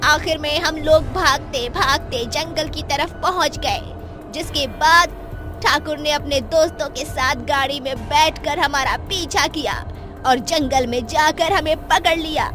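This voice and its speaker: A high, hushed young witch ghost, as a woman acts her out, mournful and wronged, her telling sinking toward a whisper. A dark cartoon horror tale with music behind her. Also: measured pace. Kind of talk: animated horror story voice acting.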